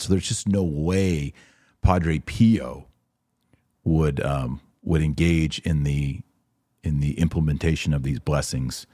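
Male podcast commentator: very low, mellow voice, conversational but emphatic delivery. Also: breathy edge, dry recording. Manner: conversational, emphatic, acts out imagined exchanges. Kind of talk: casual religious commentary, answering listener questions.

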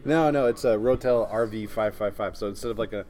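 A man's voice: on the low side, a little fast, a little mellow. A man, chatting casually as a podcast co-host. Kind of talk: casual chat about anime and daily life.

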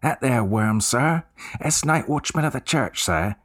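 A man voicing a young male Cockney bellboy who speaks bluntly with rough, dropped grammar. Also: mid-range voice, lively intonation, unhurried, voice pushed up, natural voice. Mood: indignant.

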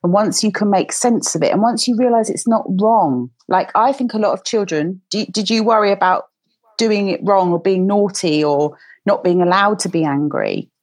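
A woman in her forties hosting a podcast, chatty and encouraging, speaking gently to a child guest. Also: medium pitch, normal speed, no echo. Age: 40s. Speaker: podcast host. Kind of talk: warm casual interview chat.

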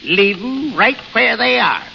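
A man's voice: very high-pitched, animated, a little slow, creaky, bright, forceful tone, long reverb tail, full character voice. A man acting a crotchety, miserly old grandfather with folksy talk in an old radio drama. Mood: suspicious, cranky. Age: older. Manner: cranky, folksy. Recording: hissy, dull old radio recording.

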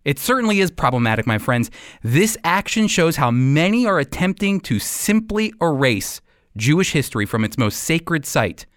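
A man with an American accent hosting a radio program, teaching the Bible in an emphatic, deliberate way. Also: fairly high pitch, sing-song, dry recording, clean studio sound.

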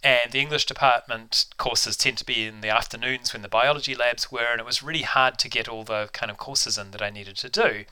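Middle-aged New Zealand-accented man, bright smooth voice, relaxed self-deprecating guest. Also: medium pitch, fairly quick, few pauses. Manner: self-deprecating, chatty, frequent ums and restarts. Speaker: biology professor, guest. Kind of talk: casual interview, storytelling.

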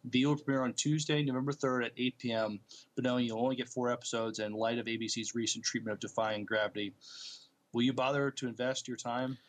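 A man co-hosting a podcast, reading and discussing entertainment news in a plain-spoken, slightly halting delivery. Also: mid-range voice, steady tone. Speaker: podcast co-host.

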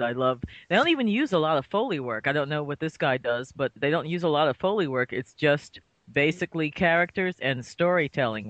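A man's voice: medium pitch, a bit bright, dry recording. A man from New York chatting casually as a panel participant, in a rambling, enthusiastic way. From New York, United States. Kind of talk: casual chat. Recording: dry but slightly dull, lo-fi.

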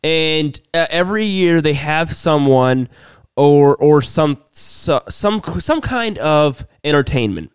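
A man talks through a meandering story on a podcast, pausing and restarting often. He's sick, and his throat is worn. His voice stays smooth and resonant, recorded close and dry. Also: on the high side, expressive, no room sound. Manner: rambling storyteller, many restarts and fillers. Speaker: podcast co-host. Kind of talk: casual chat.

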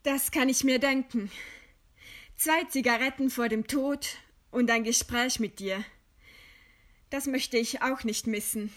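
A condemned woman writer in a radio drama. Her voice is fairly high and she speaks very slowly, weighing each phrase. She stays composed and proud, with ironic scorn. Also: a bit bright. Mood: subdued scorn. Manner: slow, deliberate, ironic. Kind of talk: German radio play acting.

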